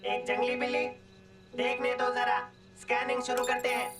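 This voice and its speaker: A woman voicing a helpful, factual robot companion that announces things in a children's animated comedy dub. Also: sits high, moderate pace, lightly acted. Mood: matter-of-fact. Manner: announcing, matter-of-fact. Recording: loud music behind the dialogue.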